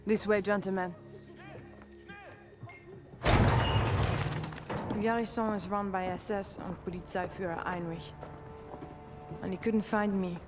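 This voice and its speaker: Slow, grave resistance woman played by an actress. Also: medium pitch, steady tone, loud music behind the voice, lightly acted. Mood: guarded. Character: grave, grieving, resolute woman resistance leader. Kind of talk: solemn cinematic game speech.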